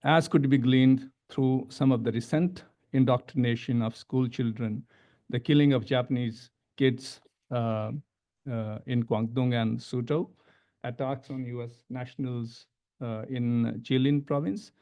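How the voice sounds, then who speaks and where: A middle-aged man with an Indian accent giving a panel presentation as an academic, halting and repeating words as he stresses each new point. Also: mid-range voice, fairly even intonation, mellow. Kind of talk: panel presentation, semi-scripted lecture. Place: medium-sized indoor conference room. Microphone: gooseneck table microphone.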